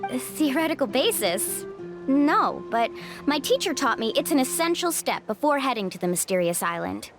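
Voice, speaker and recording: Cheerful young tour guide girl, upbeat and bouncy, soft game music. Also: high-pitched, big pitch swings, moderate pace. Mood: confident. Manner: upbeat, playful, confident. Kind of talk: video game voice acting. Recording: game audio with music behind.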